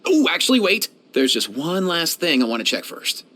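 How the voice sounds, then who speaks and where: A man's voice: on the high side, very animated, moderate pace, sharp tone, heavily acted. A man voicing a young, laid-back and caring animal doctor for a fantasy video game, speaking in a friendly, casual way. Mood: easygoing, caring. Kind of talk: scripted video game character voice lines.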